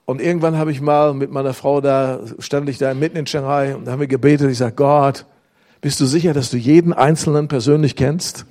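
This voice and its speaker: Preaching German man, mellow voice. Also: mid-range voice, fairly quick. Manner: animated preaching with acted prayer quotes. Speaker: pastor. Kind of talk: sermon. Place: church hall with congregation.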